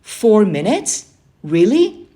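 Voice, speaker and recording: A woman's voice: medium pitch, slow, pitched above the performer's own voice. A woman voicing an eager, earnest schoolboy who is easily flustered, in a children's storybook. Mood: surprised, doubtful. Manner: earnest, questioning, excitable. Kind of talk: children's storybook character reading.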